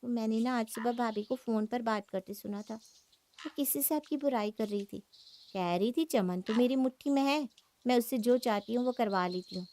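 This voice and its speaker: A woman's voice: fairly high pitch, moderate pace, mellow, close to an everyday voice. A woman voicing a jealous, sulky young married woman in a cartoon moral story, complaining and argumentative. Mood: sly, conspiratorial.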